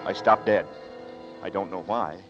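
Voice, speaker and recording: A tense, brooding amnesiac narrator. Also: male voice, sits low, unhurried. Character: dazed amnesiac man narrating his ordeal. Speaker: narrator and lead. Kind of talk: 1940s radio drama narration. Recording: muffled old radio broadcast with music.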